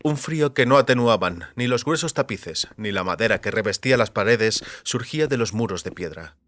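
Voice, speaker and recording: A man reading scripted literary narration for an audiobook with measured, deliberate phrasing. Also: lowish voice, animated, fairly bright tone, dry recording. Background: faint music.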